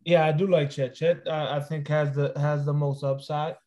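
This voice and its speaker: Higher-voiced man giving sports opinions. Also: little pitch movement, moderate pace, dark tone. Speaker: podcast guest. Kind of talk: casual sports analysis chat.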